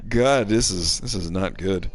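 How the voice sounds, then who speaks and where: A man's voice: sits low, a little slow. A man giving casual, sardonic live gaming commentary, given to exasperated asides. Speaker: game streamer.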